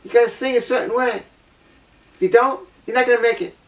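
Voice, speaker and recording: A woman with a low voice giving a blunt opinion monologue, repeating her points for emphasis. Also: steady tone, brisk, distant mic, poor audio. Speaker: vlogger.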